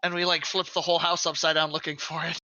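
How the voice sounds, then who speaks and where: Man, player, chatty, very bright tone, dry lo-fi recording. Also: a little high, little pitch movement, fast, no echo. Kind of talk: casual chat during a tabletop game. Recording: dry, muffled mic.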